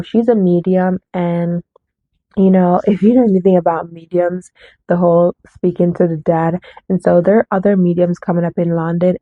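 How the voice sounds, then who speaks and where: A woman's voice: fairly low pitch, no echo. A woman chatting casually about her book haul, rambling and often correcting herself, slightly nasal from a cold. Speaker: book vlogger. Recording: dry, tinny phone-like sound.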